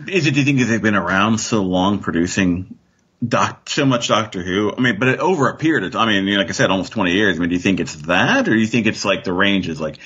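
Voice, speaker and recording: Male guest on a fan podcast, lowish and raspy, halting, conversational. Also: animated, a bit bright, muffled recording. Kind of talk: casual fan discussion.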